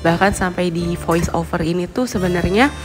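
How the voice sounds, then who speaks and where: A young woman with a stuffy nose and a hoarse voice from a cold, chatting casually in a home vlog voice-over. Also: on the low side, normal speed. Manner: chatty, friendly, with little laughs and fillers. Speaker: vlogger, homemaker. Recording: voice-over with clear background music.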